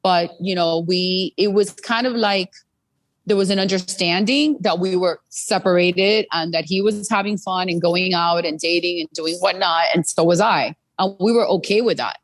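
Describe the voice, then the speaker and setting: A woman's voice: lowish voice, normal speed, dry recording. A middle-aged American woman telling her personal story as an interview guest, earnest and full of "you know" fillers. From United States.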